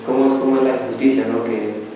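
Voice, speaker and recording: A man gives a personal testimony as a migrant rights defender. He speaks earnestly and pauses to search for his words. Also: medium pitch, steady tone, lo-fi sound. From Guatemala. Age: 20s. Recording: distant echoey hall with noise.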